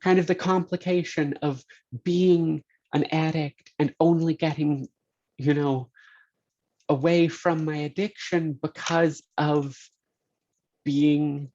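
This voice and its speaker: A poet speaking reflectively on a video-call panel in a measured, articulate, thoughtful manner. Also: medium pitch, fairly even intonation, mellow. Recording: slightly dull video call audio.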